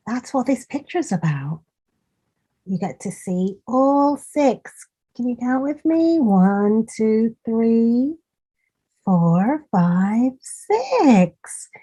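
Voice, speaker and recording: A woman reads a children's story at a very slow, deliberate pace. Her voice is mellow and somewhat husky, and she is expressive, addressing young listeners directly. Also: mid-range voice, poor audio.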